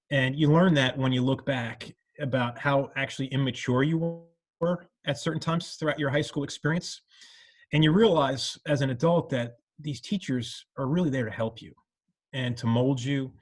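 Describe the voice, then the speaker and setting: A middle-aged man from New York, an alumnus, speaking earnestly and reflectively in a rambling conversational way, full of "you know" fillers. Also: medium pitch. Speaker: alumnus panelist.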